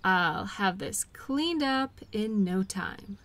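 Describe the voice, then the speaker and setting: A woman playing a caring, patient girlfriend in an intimate role-play audio, talking gently and soothingly to her partner. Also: mid-range voice, lively intonation, takes it slowly, a little hiss or hum. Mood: reassuring, light. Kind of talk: intimate one-sided role-play acting.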